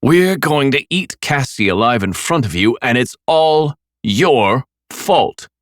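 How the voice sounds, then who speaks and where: A man voicing an armored male monster who taunts his foe slowly in a hollow voice. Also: on the high side, creaky, fairly bright tone, dry recording, clean studio sound, pitched above the performer's own voice. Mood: mocking. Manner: slow, taunting, patient menace.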